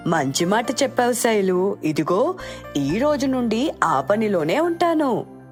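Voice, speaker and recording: A devoted, worried mother of little means acted by a woman in a moral storybook tale. Her plaintive, doting delivery moves widely in pitch, with music underneath. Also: big pitch swings, measured pace. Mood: doting, reassuring. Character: poor, devoted, anxious mother who works as a maid.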